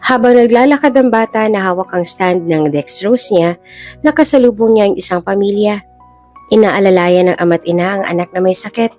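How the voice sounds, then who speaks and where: Filipino lady storyteller reading a drama script, mid pitched voice, music behind her. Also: fairly quick, darkish tone. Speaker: storyteller, channel host.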